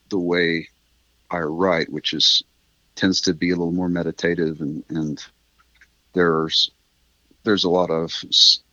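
A man's voice: sits low, bright, forceful tone, no echo, highs cut off. A middle-aged man chatting reflectively as an interview guest, rambling thoughtfully and correcting himself as he goes. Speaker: interview guest, writer of a radio drama. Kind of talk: casual reflective interview chat.

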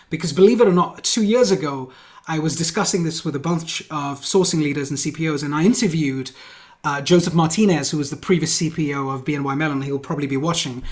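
Male host, slightly high voice, eager and chatty, long rambling intros. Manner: enthusiastic, rambling with fillers and restarts. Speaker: host and founder of the show. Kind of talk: interview hosting.

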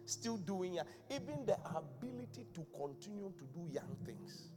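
A man's voice: high voice, very animated, normal speed. A man, a bishop preaching a live church sermon in a chatty, questioning way. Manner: conversational preaching, quizzing the congregation. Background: keyboard music under the voice.

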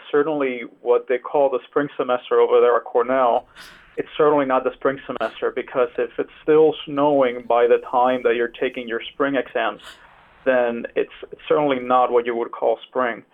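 A young man from Cuba talks through his answers in a level, unvaried tone, often pausing on ums and uhs. His voice comes through a thin, tinny phone line, and he sounds relaxed as an interview guest. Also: mid-range voice. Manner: hesitant, many fillers. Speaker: guest attorney.

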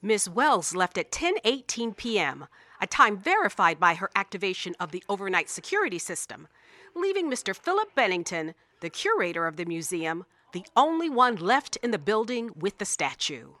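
An actress in a radio play playing a police investigator with a formal, precise manner. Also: female voice, medium pitch, very animated, moderate pace, pushed and bright, dry recording. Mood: brisk, factual.

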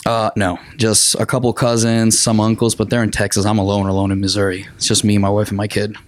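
A man's voice: on the low side, monotone, fairly quick. A man from Puerto Rico, in his thirties, a comedian guest on a casual podcast, swearing and laughing easily.

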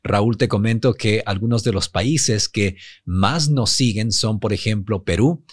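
A man with a fairly neutral Ecuadorian Spanish accent, co-hosting a podcast in a smooth, broadcaster-trained manner. His voice sits low, and the sound is dry and close, with no room echo. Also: unhurried. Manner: polished, broadcaster-like delivery. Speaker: podcast co-host. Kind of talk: business podcast conversation.

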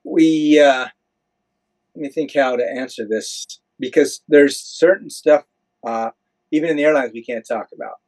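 A man's voice: medium pitch, measured pace, a little mellow, low-quality recording. Plain-spoken older American man. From United States. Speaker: airline pilot and interview guest. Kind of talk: conversational interview answers, explanatory.

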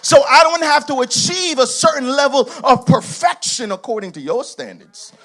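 High-voiced man preaching with shouted emphasis. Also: expressive, moderate pace. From Haitian heritage. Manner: emphatic, building to shouted repetitions, with laughing asides. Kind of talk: sermon.

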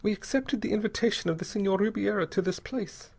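A man voicing a terrified, despairing young married woman in an audiobook story, with a breathy, halting, pleading delivery. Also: sits high, a little mellow, pitched above the performer's own voice, heavily acted. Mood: anguished recounting. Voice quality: breathy, gasping.

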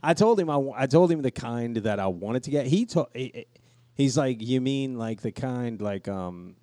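A man's voice: mid-range voice, lively intonation, moderate pace, warm tone, no echo, clean studio sound. A man co-hosting a casual podcast, rambling through stories with plenty of swearing and quoted asides. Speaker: podcast co-host. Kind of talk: casual podcast chat with profanity.

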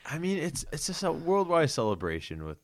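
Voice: mid-range voice, sing-song, fairly quick, a little hiss or hum. A young American podcast co-host from Michigan chatting casually and explaining things in a hesitant, good-humored, informal way. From Michigan, USA.

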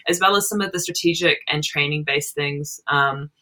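A woman's voice: sits low, normal speed, sharp tone. A young adult woman with an Australian and New Zealand accent, a roller derby player chatting casually on a podcast and rambling with fillers. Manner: rambling, with frequent fillers and laughs. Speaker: roller derby jammer and captain.

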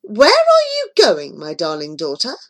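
A woman voicing a doting, possessive sorcerer's queen in a storybook reading, with a sweet, coaxing, high sing-song manner. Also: huge pitch swings, takes it slowly, fairly bright tone, full character voice.

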